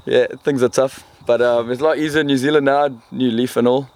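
A man's voice: mid-range voice, fairly even intonation, fairly quick, darkish tone. South african guy being interviewed, joking around, dry and casual. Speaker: interview guest, spearfishing guide and retailer. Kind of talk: casual chat.

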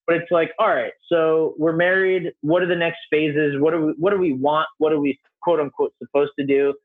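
A man's voice: fairly high pitch, moderate pace. A man in his thirties, a podcast guest chatting casually, rambling with lots of filler words. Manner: relaxed, rambling, full of "you know" and "like". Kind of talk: casual interview chat. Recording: tinny phone-like line.

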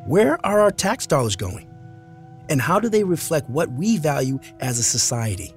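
A man's voice: a little high, big pitch swings, moderate pace, soft background music. A man hosting a documentary podcast, reading scripted narration.

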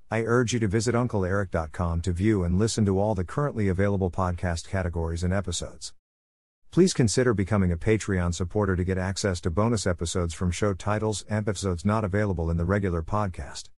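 A man's voice: low-pitched, brisk, a little mellow, no room sound, clean studio sound. A man hosting a podcast, reading a scripted introduction. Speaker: podcast host.

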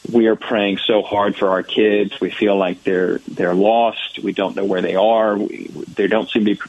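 A man's voice: fairly low pitch, slightly rough, dull audio. An American man in his thirties, a church ministry director answering interview questions thoughtfully, with many fillers and restarts. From United States.

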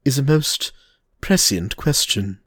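A sly foreign nobleman in an audiobook, smooth and sonorous, with a knowing amusement under every word, as a male narrator puts him on. The voice sits well above the reader's own and swoops lightly. The recording is close and dry. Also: mid-range voice, lively intonation, takes it slowly, a bit bright, no echo, pitched above the performer's own voice, full character voice. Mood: amused, smooth. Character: suave, mocking foreign nobleman of middle age.

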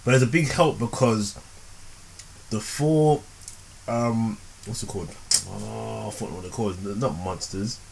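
A man co-hosting a casual podcast, telling stories in an animated way with quick asides. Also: lowish voice, creaky, poor audio. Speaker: podcast co-host.

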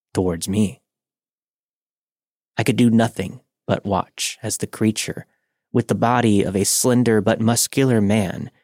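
A man's voice: lowish voice, darkish tone, no room sound, studio quality. A man narrating a scripted first-person horror story for a podcast.

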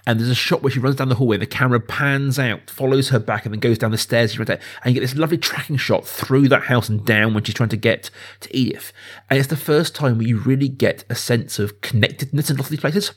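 Guy hosting a film podcast, medium pitch, casual and thoughtful, really into explaining stuff. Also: fast talker. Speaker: podcast host.

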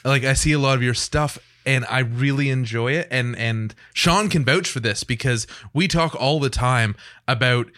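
A man's voice: mid-range voice, moderate pace, few pauses, bright, forceful tone. A man hosting a casual studio podcast conversation in a joking, self-deprecating way. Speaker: podcast host. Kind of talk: casual podcast interview chat.

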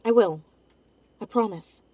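An actress playing a determined, headstrong young amateur sleuth in a naturalistic audio drama. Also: female voice, mid-range voice, unhurried, warm tone, husky. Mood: soft, reassuring.

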